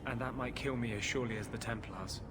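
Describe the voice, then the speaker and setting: An actor playing a brooding, tormented rebel mage in a video game, speaking earnestly and hesitantly, as if confessing. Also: male voice, medium pitch, quick pace, very noisy, close to an everyday voice. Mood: warning, regretful. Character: brooding, tormented rebel mage sharing his body with a spirit. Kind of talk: video game cutscene acting. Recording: music behind the voice.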